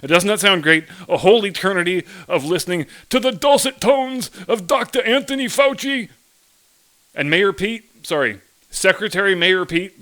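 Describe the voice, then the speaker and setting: An American man delivers a scripted satirical monologue as a host. His voice is bright, forceful and a bit high for a man. He is sardonic and leans hard on his words. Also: expressive, normal speed. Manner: sardonic, emphatic. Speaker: podcast host.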